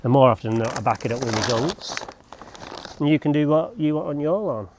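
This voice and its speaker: A man's voice: mid-range voice, expressive, fairly quick, background noise. Rambling guy working a lawn. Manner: rambling, joking asides to camera. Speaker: lawn care vlogger. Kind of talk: casual chat while working. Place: outdoors on a lawn.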